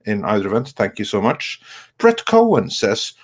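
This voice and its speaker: A man hosting an online show in casual chat, speaking haltingly, repeating words as he goes and reading out messages. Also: lowish voice, huge pitch swings, slightly rough.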